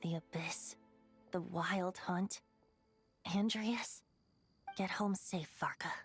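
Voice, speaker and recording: A woman's voice: lowish voice, fairly even intonation, slow. A woman voicing a playful, proud, boyish young male bard in a video game, whimsical and theatrical in manner. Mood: wistful. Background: background music.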